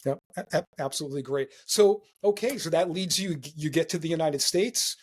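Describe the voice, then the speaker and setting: A man's voice: on the high side, fairly quick. A man hosting an interview show, friendly and affirming, with frequent fillers. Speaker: interview host.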